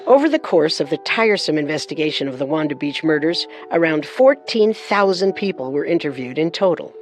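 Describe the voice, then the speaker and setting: A woman's voice: sits low, animated, fairly quick, soft background music. A woman co-hosting a true crime show, delivering scripted narration with dramatic phrasing.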